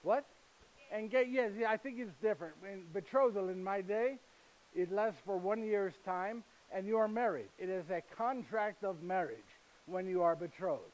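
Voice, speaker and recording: Man doing a fond old-world husband, high voice, broken English, tag questions, chatty stage monologue. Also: moderate pace, darkish tone, close to an everyday voice, rough recording. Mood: fond storytelling. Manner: broken, foreign-sounding English with frequent "yes?" tag questions; chatty, storytelling, addresses listeners directly. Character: gentle, humorous male carpenter, husband and father, middle-aged. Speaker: actor performing a first-person character monologue. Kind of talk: live theatrical monologue.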